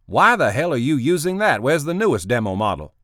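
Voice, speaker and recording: A man voicing an irritable, wealthy male tech boss who is brash, impatient and quick to swear. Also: mid-range voice, sing-song, a bit bright, no echo, clean studio sound, voice pushed up.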